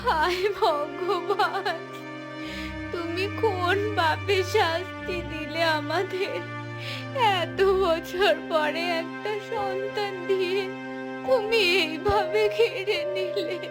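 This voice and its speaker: A woman plays a grieving village mother in a cartoon melodrama. Her voice is extremely high and drawn out slowly as she pleads and wails, with loud music behind her. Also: steady tone. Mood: anguished pleading.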